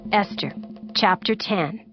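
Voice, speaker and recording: A woman narrates scripture for an audiobook in a formal, measured manner. Her mid-range voice is clearly phrased. The recording is a little dull, with soft music underneath. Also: medium pitch. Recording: dull band-limited audio with music bed.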